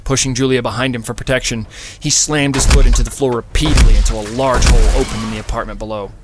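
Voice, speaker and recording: A man's voice: medium pitch, fairly even intonation, fast, heavy background noise. A man narrates fiction in a mid-range voice. His delivery is scripted and dramatic, in the manner of an audiobook, with music playing behind him.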